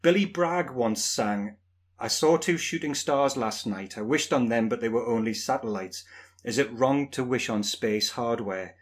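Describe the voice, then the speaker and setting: A man's voice: medium pitch, a little slow. Man, northern english accent, podcast host, jokey friendly questions. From northern England. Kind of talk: casual chat.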